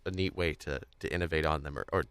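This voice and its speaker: A man hosting a podcast in a casual interview style, rambling and halting as he repeats words while thinking aloud. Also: a bass voice, fairly even intonation, fairly bright tone, smooth, no echo. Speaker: podcast host. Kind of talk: casual interview chat about audio drama.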